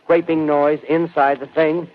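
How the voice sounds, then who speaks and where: An actor playing an earnest, urgent male news reporter in a 1930s radio drama, describing an alarming scene in halting, stammering phrases. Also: a little high, little pitch movement, close to an everyday voice. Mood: brisk, excited. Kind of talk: 1930s radio drama acting, live on-the-spot news commentary. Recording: muffled, hissy 1930s radio broadcast.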